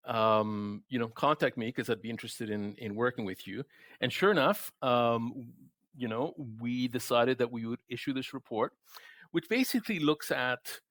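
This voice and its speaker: A man whose first language is Spanish, born in Chile, in his fifties, answering interview questions as a guest economist in a halting way full of ums and uhs. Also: fairly low pitch, fairly bright tone, no room sound. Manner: halting, many ums and uhs, restarts often.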